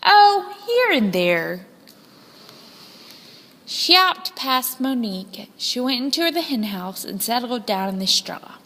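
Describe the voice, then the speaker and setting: A woman narrates a children's storybook in a high, lively voice. She is dramatic and deliberate, taking her time over the phrases. Long reverb surrounds her voice. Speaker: storybook reader. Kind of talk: scripted children's story narration, read aloud expressively.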